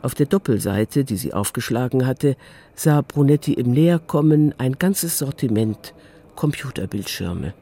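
A man narrating a radio crime drama in a calm, composed literary style. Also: medium pitch, moderate pace.

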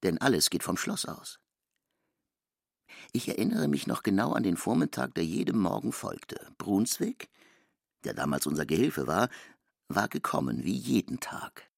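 An actor as a storyteller, giving a villager's sombre recollection with a gravelly, husky voice in a literary radio drama. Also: male voice, sits low, big pitch swings, normal speed, no echo, clean studio sound, heavily acted.

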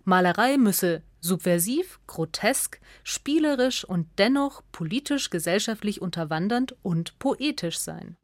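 A woman's voice: medium pitch, animated, unhurried, few pauses, dry recording. Dry-studio woman narrator. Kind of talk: scripted radio essay narration.

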